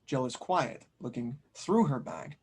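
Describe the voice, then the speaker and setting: A man's voice: medium pitch, very animated, measured pace, vocal fry, a little mellow, breathy edge. A man acting as host and narrator, introducing and reading stage directions at a script table read.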